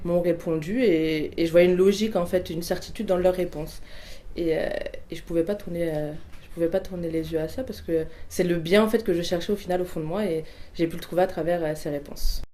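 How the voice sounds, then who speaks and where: A woman's voice: fairly low pitch, fairly even intonation, fairly quick, warm tone. A woman in her twenties giving a personal testimony, hesitant, with many fillers and restarts. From Portuguese family background. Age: 20s. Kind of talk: personal testimony, answering questions.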